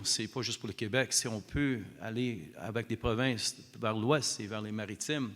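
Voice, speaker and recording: Un homme du nord de l'Ontario, candidat à un débat, parle avec hésitation et s'excuse de ses mots, tout en restant enthousiaste. Sa voix, de hauteur moyenne, résonne dans une grande salle à longue réverbération. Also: male voice, mid-range voice, a bit bright. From northern Ontario. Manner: hesitant, apologetic, enthusiastic. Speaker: independent election candidate. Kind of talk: debate statement. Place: arts centre hall. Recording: reverberant hall.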